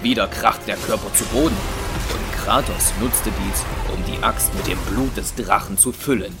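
A man's voice: fairly low pitch, unhurried, over loud music. A man reading scripted narration for an action audio drama.

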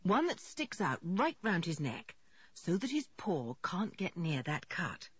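A calm, brisk male country vet voiced by a woman for a storybook. He is reassuring and matter-of-fact, husky and close, with slightly dull sound. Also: fairly low pitch, no room sound, exaggerated voice. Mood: calm reassurance. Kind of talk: audiobook character voice.

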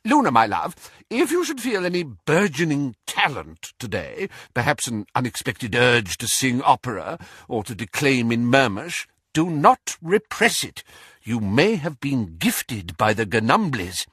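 A man's voice: medium pitch, very animated, a little slow, fairly bright tone, slightly rough, no room sound, clean studio sound, pitched above the performer's own voice, full character voice. A man voicing an eccentric, doting wizard father in an audiobook, earnest and effusively declaiming.

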